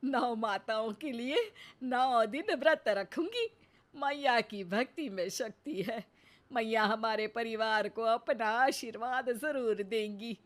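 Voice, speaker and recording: A stubborn, pious elderly mother-in-law played by a woman from a cartoon story, fairly high in pitch and slow in pace. She is bossy and scolds with dramatic flair. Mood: devout, insistent. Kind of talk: cartoon moral-story voice acting.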